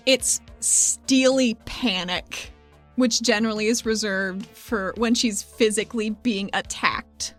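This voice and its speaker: A woman's voice: mid-range voice, slow, soft background music. A woman playing a tabletop role-playing game, eager and playful, who swears casually. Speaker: player.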